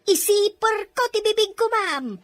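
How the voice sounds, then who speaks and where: A high, swooping voice belongs to a nosy, dramatic housemaid acted by a woman in an audio drama. She speaks unhurriedly, with theatrical exclamations and a teasing, wailing manner. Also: expressive, measured pace. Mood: sly teasing. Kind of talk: Ilocano radio-style drama acting.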